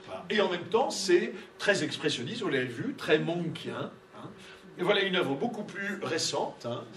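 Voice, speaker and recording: Higher-voiced man lecturing in an echoey room. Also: big pitch swings, moderate pace, fairly bright tone. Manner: conversational, peppered with tag questions. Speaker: art lecturer leading a discussion. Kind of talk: interactive spoken lecture. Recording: echoey room, distant mic, audience murmur.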